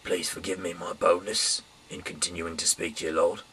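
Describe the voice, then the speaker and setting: Husky, gravelly man reading scripture aloud. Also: deep voice, flat delivery, bright, forceful tone, low-quality recording. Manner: halting, stumbling over and repeating words. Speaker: amateur Bible reader.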